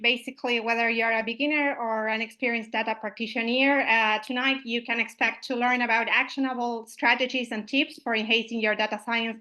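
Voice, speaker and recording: A woman's voice: fairly high pitch, sharp tone. A woman from Uruguay, opening an online meetup as its host, friendly and full of "uh" and "I mean" fillers, over a video call microphone.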